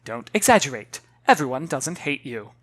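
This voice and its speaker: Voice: medium pitch, very animated, normal speed, dry recording. The narrator playing a stern, weary mother who speaks curtly and firmly to a child in a storybook. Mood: impatient, stern. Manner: curt, firm, exasperated. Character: stern, weary mother scolding her young daughter.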